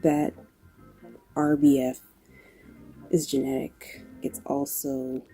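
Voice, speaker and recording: A woman with a low-pitched voice and a New York accent that has slight Southern touches. She talks casually to camera at a slow, relaxed pace, dry and low-key, with music in the background. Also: poor audio. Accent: New York with occasional Southern touches. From New York, United States. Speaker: video creator and graphic designer. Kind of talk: casual talk to camera answering questions.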